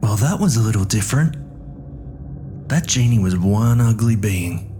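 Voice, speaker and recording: A man's voice: lowish voice, lively intonation, normal speed, dark tone, a little hiss or hum. A man hosting a story podcast in character, talking casually as if guiding a companion through an audio drama. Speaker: host and guide of a story podcast.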